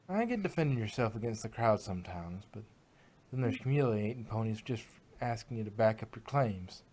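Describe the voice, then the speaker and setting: A man's voice: mid-range voice, darkish tone, close to an everyday voice. A man voicing an honest, plain-spoken female farm pony with folksy country phrasing in a fan fiction reading. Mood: blunt, reluctant.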